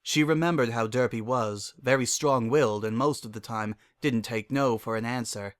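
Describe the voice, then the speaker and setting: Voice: mid-range voice, moderate pace, no echo, clean studio sound. A narrator giving a dramatic scripted reading of a story.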